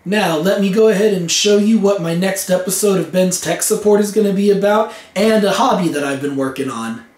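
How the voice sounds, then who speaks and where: A young man with a hoarse voice from a cold, hosting his own vlog in an earnest, plain way from a rented room at home. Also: high voice, brisk, a little mellow. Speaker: vlog host. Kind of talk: casual vlog talk to camera. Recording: echoey room.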